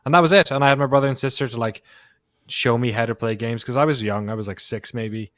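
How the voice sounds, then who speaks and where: A man's voice: mid-range voice, fast, fairly bright tone, no room sound. A man in his thirties on a podcast, casually telling a story in an easygoing way, with small laughs. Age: 30s. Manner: easygoing, laughs a little as he talks. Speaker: podcast co-host.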